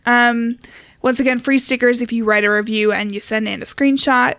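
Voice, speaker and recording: Higher-pitched woman chatting casually, dry close sound. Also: fairly even intonation, normal speed, fairly bright tone, clear, resonant tone, no echo. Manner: informal, giggly, mildly sweary. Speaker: podcast co-host. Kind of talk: casual conversational podcast chat.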